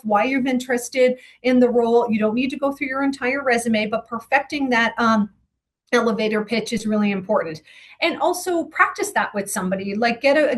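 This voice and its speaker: A woman answering interview questions as a guest, conversational and earnest, explaining her advice in a tentative, thinking-aloud way. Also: fairly high pitch.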